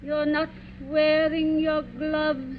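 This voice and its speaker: An actress playing a lonely, ghostly old aunt, plaintive and eerie, in an old radio drama. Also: female voice, high-pitched, level tone, slow. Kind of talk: 1940s radio drama acting. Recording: thin, phone-like, noisy old radio.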